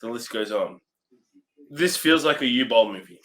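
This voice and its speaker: A man gives casual film commentary as co-host of a review show. His voice is mid-range in pitch, with animated intonation that moves up and down a lot. The delivery is conversational and unscripted. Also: normal speed.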